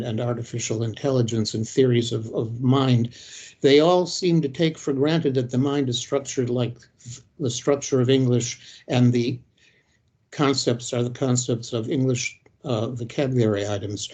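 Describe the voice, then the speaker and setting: An elderly man in his eighties delivers a reflective academic lecture. He speaks in a measured, unhurried way, often pausing on "uh" while he gathers his thoughts, at an ordinary pitch for a man. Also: a little mellow. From Detroit, United States. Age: 80s. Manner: measured, halting, with frequent "uh" pauses.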